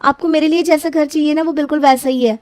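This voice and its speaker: Young scheming daughter, self-pleased, high voice, story drama, acted by a woman. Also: fairly even intonation, quick pace, darkish tone, no echo, close to an everyday voice. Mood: eager, confident.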